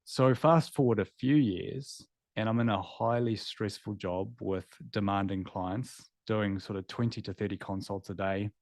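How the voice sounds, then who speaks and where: Male guest, 30s, New Zealand accent, low voice, candid storyteller with fillers, dry recording. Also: a little slow, few pauses, darkish tone, no echo. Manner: candid, reflective, with filler words. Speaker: guest, former veterinarian. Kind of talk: podcast interview storytelling.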